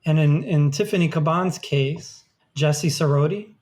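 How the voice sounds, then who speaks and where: A man's voice: on the high side, a little slow, a little mellow. A man co-hosting a podcast, chatting loosely about politics with many "like"s and "um"s. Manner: loose, filler-heavy talk with frequent "like" and "um". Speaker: podcast co-host. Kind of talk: casual political chat.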